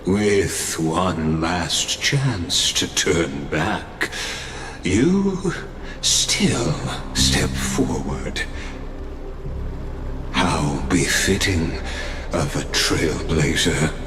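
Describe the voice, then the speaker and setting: A man voicing an ominous, courtly schemer in a fantasy game, speaking in a grandiose, deliberate and taunting way. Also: deep voice, very animated, heavily acted. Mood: taunting, sardonic. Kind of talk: dramatic video game voice acting. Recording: echoing reverb, music behind. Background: music.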